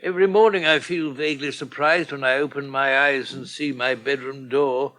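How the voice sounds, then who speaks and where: A man's voice: mid-range voice, fairly even intonation, normal speed, bright, forceful tone, close to an everyday voice. An actor playing a frail, self-pitying old Englishman in a radio soap, grumbling drily and genteelly. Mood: mournful, wry. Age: older. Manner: plaintive, dry, genteel grumbling. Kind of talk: British radio soap acting.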